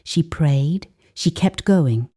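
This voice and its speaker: A woman narrating a scripted folktale as a storyteller, in a measured, deliberate and dramatic way with weighted pauses. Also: deep voice, expressive, dark tone, husky.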